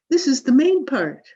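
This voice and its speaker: A woman's voice: fairly high pitch, darkish tone, slightly rough, exaggerated voice. A woman playing a grandiose, exacting drama coach in a 1940s-style radio comedy, with theatrical, emphatic delivery. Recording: dull, muffled video-call audio.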